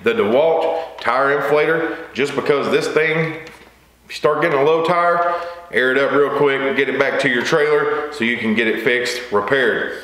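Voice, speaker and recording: A man's voice: medium pitch, unhurried, fairly bright tone. Man in an echoey room. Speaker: lawn care video host. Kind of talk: casual product walkthrough to camera. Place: small indoor workshop or garage with wooden shelving. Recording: echoey room, faint background hiss.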